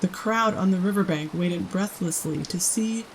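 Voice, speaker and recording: A woman storytime host with a slightly low voice. She reads children's fiction aloud as an expressive narrator, addressing her listeners directly. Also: moderate pace, a little hiss or hum, rough recording.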